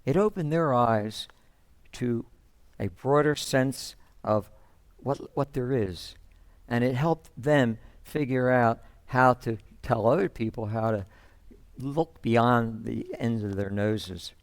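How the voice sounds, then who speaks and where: Man acting as a sentimental storyteller guy, reflective, measured phrases, radio theater monologue, really dry recording. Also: medium pitch, no echo. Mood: fond. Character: reflective, sentimental male storyteller recalling his upbringing. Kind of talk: staged first-person storytelling monologue.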